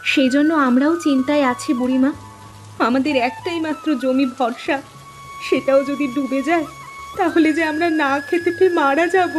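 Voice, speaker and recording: A woman playing an earnest, practical village farmer's wife in an acted story, with expressive delivery. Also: sits high, normal speed, over music, lightly acted. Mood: worried. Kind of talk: acted Bengali moral story dialogue.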